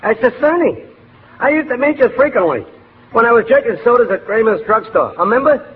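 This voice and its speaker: An actor playing an earnest, self-important young mailman in a 1940s radio comedy, speaking in a deadpan, mock-formal way. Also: very high-pitched, brisk, phone-quality sound. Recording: thin, hissy 1940s radio recording, long reverb.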